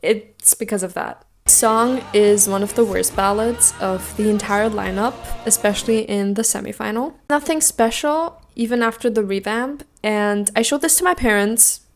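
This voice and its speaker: A woman's voice: medium pitch, moderate pace, soft background music. A young woman from Austria giving casual, opinionated commentary as a video host, emphatic and jokey, swearing freely. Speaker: video host giving song contest predictions.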